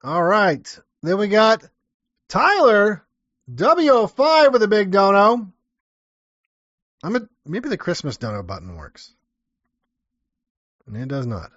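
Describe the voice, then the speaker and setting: A man's voice: sits high, big pitch swings, slow, pauses often, fairly bright tone, no room sound, highs cut off. A man hosting a livestream, reading viewer comments and riffing in a rambling, sardonic way. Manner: rambling, sardonic, many restarts and profanity. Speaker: livestream host.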